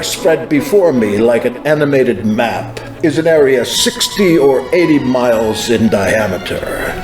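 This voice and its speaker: A man playing a fervent American healing evangelist, solemnly and deliberately recounting a prophetic vision with dramatic emphasis. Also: mid-range voice. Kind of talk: dramatized first-person testimony, scripted narration. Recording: roomy echo with music underneath.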